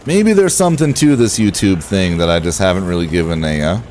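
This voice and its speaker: A man with a relaxed voice, chatting casually to viewers as he streams a game and thinks aloud. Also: sits low, fairly quick, slight background noise. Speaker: game livestreamer. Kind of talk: casual livestream chat over gameplay.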